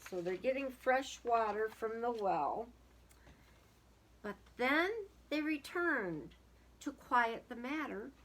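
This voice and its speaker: A woman with a fairly high voice reads a storybook aloud at a very unhurried pace. She gives the verse a dramatic delivery and speaks to her young listeners in simple, casual asides. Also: expressive, a bit bright, poor audio. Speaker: teacher reading aloud to young children.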